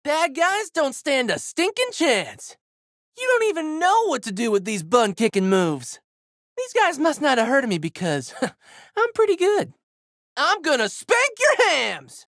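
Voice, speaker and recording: A brash, big-hearted teenage boy hero delivers video game battle lines. His voice is high and swings wildly in pitch. He is slangy, emphatic and goofy, in a dry, close studio sound. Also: wildly swooping pitch, a little slow, a bit bright, dry recording, full character voice. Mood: pumped up.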